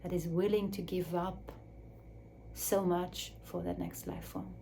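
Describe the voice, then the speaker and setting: A woman speaking English as a second language in a slow, searching reflective talk, with restarts and soft drops to a near whisper. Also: fairly low pitch, flat delivery, vocal fry, a little hiss or hum. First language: Swiss German or Dutch. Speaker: speaker on her own channel.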